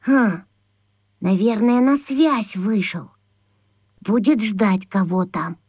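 A woman's voice: mid-range voice, slow, darkish tone, slightly rough, no echo, clean studio sound. An actress playing a self-important, imaginative young boy detective in a children's radio play, muttering earnestly like a spy-catcher. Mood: smug, conspiratorial.

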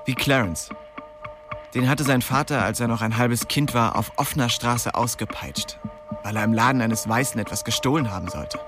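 A man acts a young streetwise narrator in a radio play, telling of his youth in a plain, colloquial way. His voice sits at an average male pitch, with soft music under it. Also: moderate pace, close to an everyday voice. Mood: sober recollection. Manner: colloquial, storytelling. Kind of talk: radio drama first-person narration.

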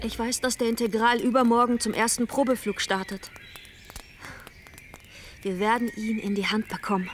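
A fervent woman revolutionary voiced by an actress in a radio play. Her voice is high, with an emphatic, rhetorical push to persuade. Music plays clearly behind her. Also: level tone, normal speed, pauses often, lightly acted. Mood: urgent, persuasive. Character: fervent, persuasive female revolutionary leader, urgent and provocative. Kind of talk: German radio play acting.

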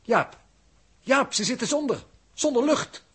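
A man's voice: very high voice, animated, a little slow, fairly bright tone, a little hiss or hum, exaggerated voice. An actor playing a stiff, logical, reserved crewman in a 1960s radio drama, with dry, formal reasoning. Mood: urgent.

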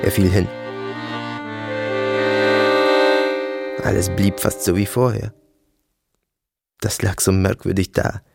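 Casting: brooding, detached male narrator for audio drama, low register, terse fragments, sudden emphasis, music underneath. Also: flat delivery, moderate pace, vocal fry, mellow.

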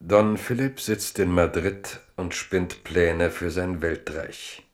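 Deep-voiced male narrator. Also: steady tone, slow pace. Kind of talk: scripted literary narration.

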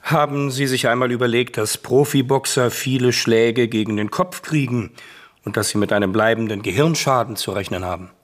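An actor playing a serious press interviewer asking formal, probing questions in a radio play. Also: male voice, mid-range voice, normal speed, natural voice.

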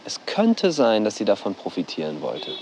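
An actor playing a sceptical university researcher in a German radio drama, hesitant and insinuating. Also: male voice, lowish voice, wildly swooping pitch, moderate pace, darkish tone, a little hiss or hum, poor audio.

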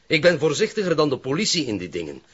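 A man's voice: a little high, wildly swooping pitch, fast, fairly bright tone, husky, highs cut off, exaggerated voice. An actor playing a self-important middle-aged Flemish politician and grieving father, formal, clipped and defensive, in a radio drama. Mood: defensive, indignant.

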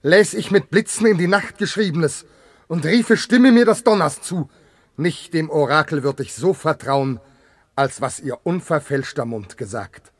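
An actor playing an anguished, proud betrayed husband and general in a classical verse radio drama, impassioned and declamatory. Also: male voice, fairly high pitch, measured pace, dry recording. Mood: solemn despair.

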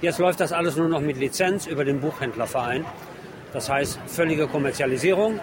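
A man's voice: fairly high pitch, a little fast, background noise. A man presenting radio reportage as host and street reporter, switching between German and Spanish.

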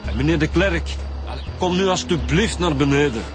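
A man's voice: a little high, animated, normal speed, lightly acted. Flemish guy acting as a caring level-headed cop, radio play, music underneath. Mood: urgent, pleading. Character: compassionate, level-headed male police detective, talking down and reassuring. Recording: background music under radio drama dialogue.

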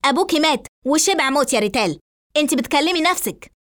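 A woman's voice: sits high, fast talker, a bit bright, pitched above the performer's own voice. A woman voicing an irritable, alarmed mother in an audio story, agitated and scolding, with an Egyptian accent. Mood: angry, alarmed.

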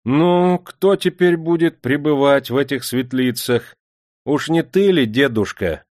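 A man's voice: medium pitch, a little slow, darkish tone, dry recording, clean studio sound, voice pushed up, natural voice. A man voicing a despotic, hot-tempered Russian factory owner in an audiobook, barking and taunting imperiously. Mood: jeering.

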